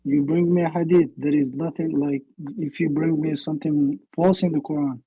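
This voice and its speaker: A man's voice: on the high side, fairly even intonation, dark tone, slightly rough, no echo, poor audio. A man from Algeria, calling in and answering hesitantly. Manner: hesitant, halting. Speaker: caller.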